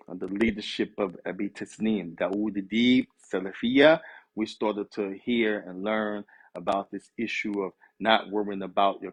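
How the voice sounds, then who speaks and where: A husky, low-voiced man in his fifties from the US East Coast preaches in a loose, conversational way. He drops Arabic phrases into his talk as he goes. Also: rough recording. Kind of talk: sermon.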